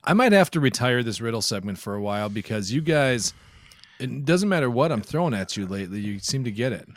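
A man's voice: mid-range voice, big pitch swings, a little fast, no echo. A man hosts a casual podcast in an ordinary mid-range voice. The sound is dry, with almost no room around him, and he talks in a loose conversational way. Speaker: podcast co-host.

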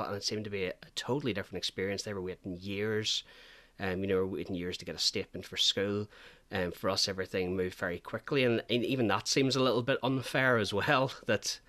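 A man's voice: sits low, sharp tone, dry recording. A man hosting an interview, talking earnestly and hesitantly with many fillers. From Northern Ireland. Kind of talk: conversational interview.

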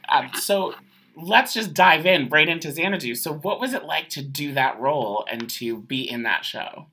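Woman podcast host, bright voice, excitable fan. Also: deep voice, moderate pace. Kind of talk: casual interview.

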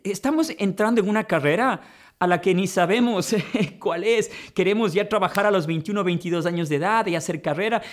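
A man's voice: sits high, brisk. A man hosting a conversation, reflective and coaching in tone, often saying "mira" and calling his guest brother. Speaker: podcast host and life coach. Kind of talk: conversational interview.

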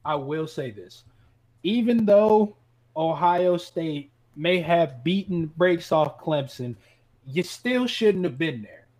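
A man with a fairly high voice co-hosts a casual sports debate. He is insistent and slangy, circling back to repeat his point as he argues. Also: animated, slow pace, a little mellow. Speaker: sports podcast co-host. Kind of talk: casual, argumentative sports chat.

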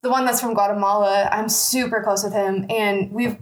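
A woman's voice: medium pitch, steady tone, moderate pace, low-quality recording. Conversational woman sharing personal stories. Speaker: panel discussion participant.

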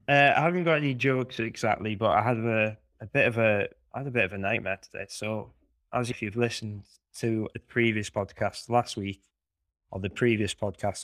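Rambling storyteller man, low voice. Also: fairly bright tone, dry recording. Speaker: podcast co-host. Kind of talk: casual chat.

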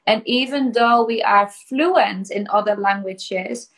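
A woman hosting a podcast interview, upbeat and encouraging, speaking clearly for learners. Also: mid-range voice, takes it slowly, a bit bright. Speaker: podcast host.

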